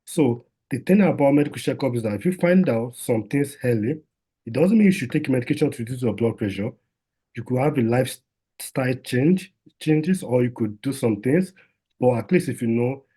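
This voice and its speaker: A man's voice: mid-range voice, dark tone, husky. A man, a doctor, giving an informal health talk in a conversational way, with lots of fillers and restarts.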